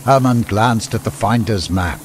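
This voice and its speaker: A man's voice: on the low side, a little slow, noisy background. A man reading a scripted fantasy story as an audiobook narrator. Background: music.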